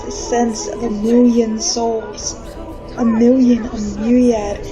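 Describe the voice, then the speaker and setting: A woman's voice: fairly high pitch, lightly acted, low-quality recording. A woman playing a desperate condemned prisoner whose soul wanders among the dead, in theatrical, intense 1940s radio drama style. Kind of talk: retro 1940s radio drama acting and narration. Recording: music behind the voice. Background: dramatic music.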